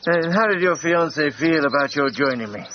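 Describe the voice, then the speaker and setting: An actor playing a jovial, cocky Edwardian gentleman adventurer in a radio drama, chatty and teasing in manner. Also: a little high, expressive, brisk, lightly acted. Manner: chatty, hesitant starts, chuckling, teasing. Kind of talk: period radio drama dialogue. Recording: dull band-limited radio, faint noise.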